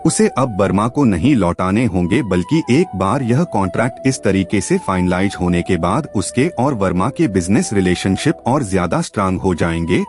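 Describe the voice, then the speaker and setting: A man narrating a scripted audio story with a dramatic, expressive delivery. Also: low-pitched, moderate pace, hardly any pauses. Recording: music behind the voice. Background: background music.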